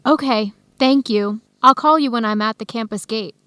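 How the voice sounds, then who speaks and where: Young woman researcher, practical and concerned, high pitch, deliberate radio drama dialogue, dry recording. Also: pushed and bright, no echo. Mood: resolute. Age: young adult.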